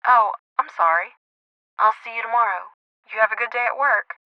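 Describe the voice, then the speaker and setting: A woman's voice: fairly high pitch, a little fast, no echo. A woman acts a mother on the other end of a phone line, caring and chatty with her grown daughter. The sound is muffled and dry, filtered like a call in an audio drama. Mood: concerned, caring.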